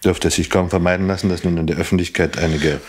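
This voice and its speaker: Deep-voiced, self-assured police inspector. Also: male voice, fairly even intonation, fast talker, slightly rough. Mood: self-satisfied, formal. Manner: formal, self-satisfied, courteous. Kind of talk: radio play acting.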